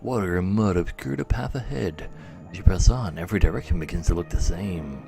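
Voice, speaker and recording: A man's voice: deep voice, a little fast, gravelly, warm tone, soft background music. A man livestreaming a game, mixing muttered commentary with dramatic readings of the game's text. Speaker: live streamer. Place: indoors, livestream with game music behind.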